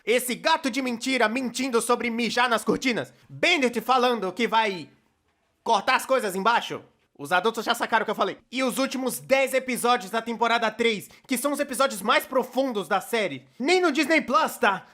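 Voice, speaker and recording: A man's voice: very high-pitched, moderate pace, pushed and bright. A man with a Brazilian accent dubbing a casual, wry young storyteller in scripted video commentary. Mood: casual, sincere. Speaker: dubbed narrator of an animated opinion video.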